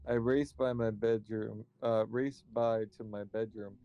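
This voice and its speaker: A man reading visual novel narration aloud, halting at times and correcting his slips. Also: on the low side, fairly even intonation, clear, resonant tone, highs cut off.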